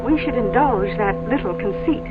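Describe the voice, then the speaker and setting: An actress playing a sly, folksy small-town motel keeper in a radio drama, with a coy, insinuating manner. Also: female voice, a little high, lively intonation, normal speed, close to an everyday voice. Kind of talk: 1970s radio drama acting. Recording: dull old radio with music behind.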